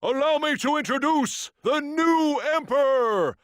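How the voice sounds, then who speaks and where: An actor playing a grandiose tournament announcer who proclaims a crowning ceremony in a booming, theatrical manner. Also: male voice, a little slow, a bit bright, no room sound, clean studio sound. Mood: proclaiming.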